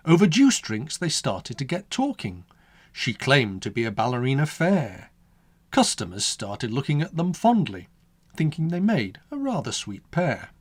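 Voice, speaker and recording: A man reads his own rhyming children's poem in a mid-pitched voice that rises and falls with a wide, animated lilt. His sing-song, storybook delivery leans into every rhyme. The sound is close and dry, with no room around it. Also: no echo.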